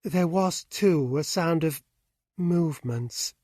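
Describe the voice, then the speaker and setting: A distraught young woman pours out a fearful confession, as a male reader voices her. The voice is lifted higher than his own, slow and trembling with anguish. The sound is dry and close. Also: a little mellow, no echo. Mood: shaken. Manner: anguished, pleading.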